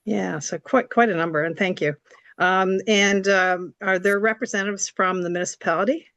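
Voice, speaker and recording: A woman with a scratchy, slightly hoarse voice giving an informal explanatory presentation, polite and somewhat hesitant. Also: medium pitch, steady tone, moderate pace, a bit bright, dry recording. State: hoarse from allergies. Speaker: report coordinator, presenter. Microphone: video call.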